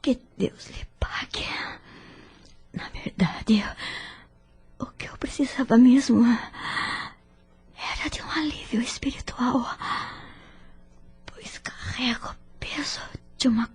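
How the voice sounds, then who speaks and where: An actress playing a gravely ill, guilt-ridden older wife in an audio drama, with a Brazilian accent and a weak, breathy, halting, tearful voice. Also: female voice, a little high, whispered, exaggerated voice. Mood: anguished. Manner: halting, labored, tearful speech. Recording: music under the voice.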